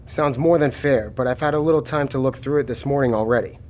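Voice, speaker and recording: A man playing a steady, matter-of-fact military lieutenant taking charge after a disaster in an audio drama. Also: mid-range voice, fast talker, slight background noise, close to an everyday voice. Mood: businesslike.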